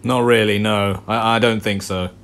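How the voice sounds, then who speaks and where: Low-voiced man giving casual gameplay commentary. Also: level tone, moderate pace, a bit bright. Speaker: gamer. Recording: game music behind the voice. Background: game music.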